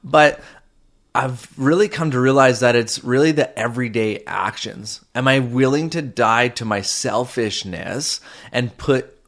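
A man's voice: medium pitch, unhurried, fairly bright tone. A man co-hosting a faith-based marriage podcast, talking earnestly with frequent restarts and fillers. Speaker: podcast co-host.

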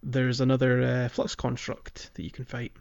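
A man's voice: mid-range voice, completely flat delivery, moderate pace, vocal fry, a little mellow. Man chatting casually, dull lo-fi sound. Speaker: podcast host. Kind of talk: casual video game discussion. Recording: dull lo-fi microphone.

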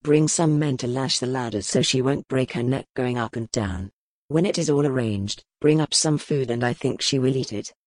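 A woman's voice: a bass voice, normal speed, darkish tone, no echo, voice pushed down. A woman voicing a stern, commanding duke in an audiobook, speaking in curt orders. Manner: curt, authoritative. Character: stern cold-blooded male duke, commanding.

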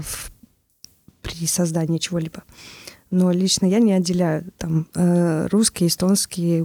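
A woman's voice: lowish voice, dark tone, dry recording. A woman from Estonia hosting a podcast, speaking earnestly and a little hesitantly, asking open questions. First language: Russian. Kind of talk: podcast conversation.

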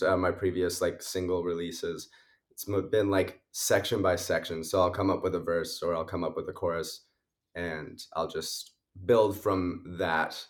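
Low-voiced sniffly young man, rambling. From Canada. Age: young adult. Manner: rambling, many likes and uhs, laughs often. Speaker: musician guest. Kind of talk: casual interview answers.

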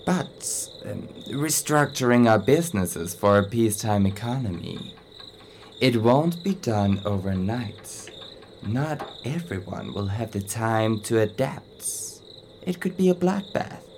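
A slow, worried old tycoon. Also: male voice, fairly low pitch, lively intonation, a little mellow, slight background noise. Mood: hesitant. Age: 60s. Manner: hesitant, worried, halting. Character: older male ship owner, anxious, hesitant businessman. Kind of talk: radio drama acting.

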